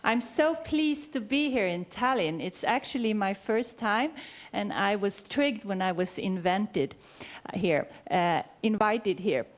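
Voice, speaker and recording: A middle-aged woman with a Swedish accent speaks at a medium pitch as a researcher presenting at a conference. Her English is halting and informal, with frequent self-corrections as she searches for the right phrase. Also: expressive. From Sweden. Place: conference venue, speaking live to an audience.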